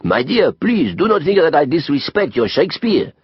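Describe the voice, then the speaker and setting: Audiobook role: florid, opinionated French man of the world; French accent, animated high-lifted delivery, husky edge. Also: normal speed, no echo, highs cut off. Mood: amused. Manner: emphatic, declamatory, repeats phrases for effect. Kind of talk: audiobook character acting.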